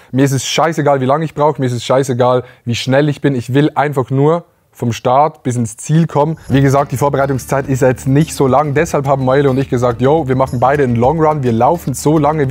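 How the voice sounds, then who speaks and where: A man's voice: mid-range voice, darkish tone. A man in his twenties talking casually to camera in a loose, slangy vlog style. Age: 20s. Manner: loose, laid-back, slangy. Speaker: streamer.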